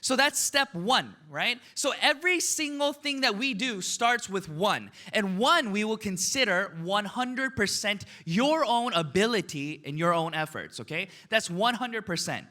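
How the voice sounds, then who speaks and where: Animated, bright-voiced man. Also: high-pitched, a little slow, few pauses. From United States. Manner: animated, asks the audience questions, tags "right?" and "okay?". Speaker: motivational speaker. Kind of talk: motivational seminar talk.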